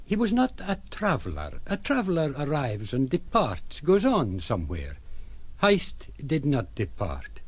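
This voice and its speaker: An actor playing a blunt, gossipy sea captain, narrating an audio drama in a wry, conversational way. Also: male voice, a little high, wildly swooping pitch, measured pace, slightly rough, exaggerated voice.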